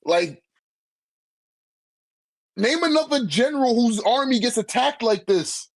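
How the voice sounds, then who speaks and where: A man chatting casually in an animated, slangy manner with heavy swearing, as a guest in a friendly debate. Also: very high-pitched, unhurried. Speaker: guest debating a manga tier list. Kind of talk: casual chat.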